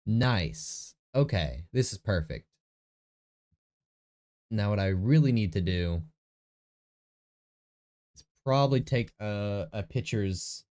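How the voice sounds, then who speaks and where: A man's voice: on the low side, animated, slow, pauses often, a little mellow. A young man with a nasal voice, chatting casually in his bedroom while he prepares a tabletop game session, thinking aloud and correcting himself. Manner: rambling, self-correcting, talks to himself. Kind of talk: casual screen-recorded prep vlog. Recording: faint echo in the room.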